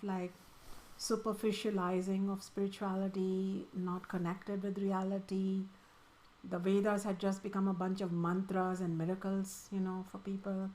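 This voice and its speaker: A woman's voice: mid-range voice, little pitch movement, normal speed, a little mellow, low-quality recording. A woman from India with an Indian accent, a spiritual teacher answering student questions in an earnest, emphatic way.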